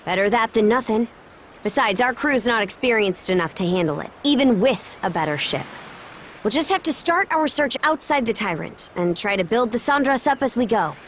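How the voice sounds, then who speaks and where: A woman's voice: fairly high pitch, lively intonation, normal speed, a little hiss or hum, close to an everyday voice. A woman playing a level-headed young princess captain in a video game, speaking in a casual, decisive way. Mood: resolute.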